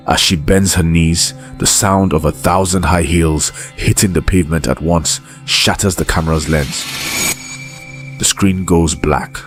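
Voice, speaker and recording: A man reading a scripted horror documentary in a grave, measured, deliberate storytelling manner. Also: rumbling low. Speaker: narrator.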